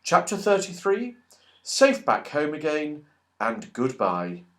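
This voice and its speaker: Male storybook reader: higher-pitched, unhurried, highly expressive, playful. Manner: animated, playful, exclaiming at events. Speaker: audiobook reader. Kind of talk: expressive children's storybook narration.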